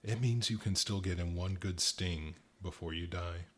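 Man voicing a snarling insect-like phantom, foul-mouthed, low husky growl. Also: moderate pace. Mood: menacing. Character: menacing winged insect-like male apparition.

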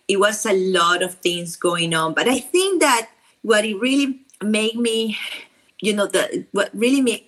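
Slow-speaking Peruvian woman, halting. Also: mid-range voice, a bit bright. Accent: Peruvian Spanish. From Peru. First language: Spanish. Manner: halting, searching for words with fillers. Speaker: podcast guest. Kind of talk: interview conversation. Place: at home.

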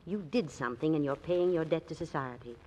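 A woman's voice: low-pitched, a little fast, dark tone, in a large hall, lightly acted. An actress playing a kindly, practical middle-aged aunt, motherly, firm and bustling, in 1940s radio drama style. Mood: firm, gentle. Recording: noisy old radio recording.

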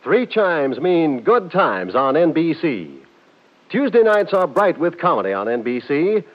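A man announces a vintage radio promo. His pitch is high and very lively, and the sound is dull and lo-fi. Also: measured pace. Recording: dull lo-fi 1950 radio recording.

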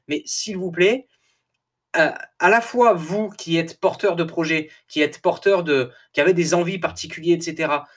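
A man talks straight to his podcast listeners in a loose, animated monologue, with a slightly raised voice. He laughs often, stumbles over words and restarts them, and sounds a little tired. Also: fairly high pitch, moderate pace. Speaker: podcast host. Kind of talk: casual motivational podcast monologue.

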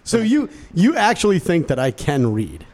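A man with a lively, swooping delivery and a slightly raised pitch, guesting on a radio show. He is a sports announcer who jokes about himself, then reads a station promo. He speaks from a studio. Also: moderate pace, heavy background noise. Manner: animated, playful, self-deprecating. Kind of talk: casual chat and promo read.

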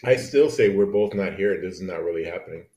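A man's voice: low voice, fairly quick, darkish tone. A man co-hosting a podcast in casual banter, crude and teasing, often pushing with questions, recorded with an echoey room sound. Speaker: podcast co-host.

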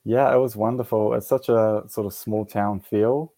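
New Zealand man, forties, dark mellow tone, hesitant and halting, dry sound. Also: lowish voice, steady tone, no room sound. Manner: hesitant, many fillers and restarts. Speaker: guest. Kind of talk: casual interview.

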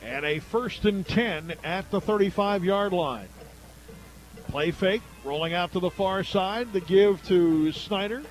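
A man calling high school football play-by-play for local radio, in a brisk, conversational way. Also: soft background music. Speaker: play-by-play announcer.

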